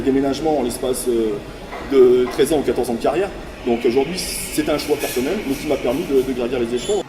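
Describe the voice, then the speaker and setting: A man's voice: mid-range voice, echoey room, recorded from a distance, low-quality recording. A man in his thirties giving a hesitant testimonial about his career as a manager, with many fillers. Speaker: national events manager, former apprentice. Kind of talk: corporate video testimonial.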